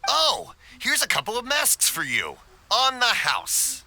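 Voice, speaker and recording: Hearty, bright stall keeper. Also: male voice, very high voice, huge pitch swings, slow pace, full character voice. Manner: hearty, easygoing and generous. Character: friendly middle-aged male festival stall keeper. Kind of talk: game cutscene voice acting.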